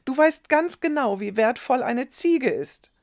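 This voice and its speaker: Woman voicing an eager, high-pitched young boy. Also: sing-song, unhurried, a little mellow. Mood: defensive. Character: young boy, eager, apologetic, defensive grandson.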